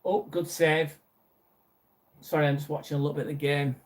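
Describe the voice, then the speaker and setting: A young man from northwest England with a Lancashire accent, hosting a live video chat. His voice sits a little high for a man. He talks in a loose, friendly way, reading viewers' messages aloud and answering each one. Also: flat delivery, brisk. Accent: Lancashire English. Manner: chatty, reads out viewer messages and answers them. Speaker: live stream host.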